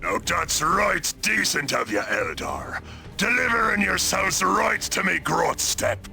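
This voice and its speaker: A man voicing a huge, brutish, taunting ork pirate boss in a video game, with a gravelly growl and a British accent. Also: high voice, very animated, sharp tone, soft background music, heavily acted. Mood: mocking. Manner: crude slangy bellowing, drawn-out taunts.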